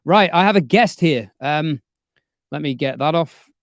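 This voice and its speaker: A man's voice: on the high side, animated, unhurried, dry recording. Chatty man on a dry studio mic. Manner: chatty, jokey, trailing fillers. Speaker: livestream host. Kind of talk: casual livestream chat. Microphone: studio dynamic microphone.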